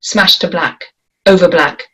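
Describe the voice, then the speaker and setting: Slow, measured woman reading directions. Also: lowish voice, very noisy. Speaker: narrator reading stage directions. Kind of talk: table read narration.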